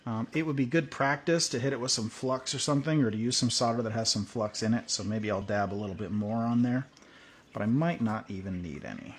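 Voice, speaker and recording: A man hosting a hands-on tutorial, thinking aloud in a relaxed way while working at a workbench. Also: medium pitch, fairly quick, slight background noise. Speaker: video host.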